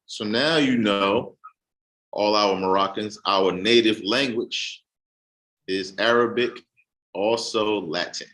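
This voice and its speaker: A man giving a casual explanatory talk, reading a text aloud with halting restarts. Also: fairly low pitch, fairly bright tone, poor audio. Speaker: host.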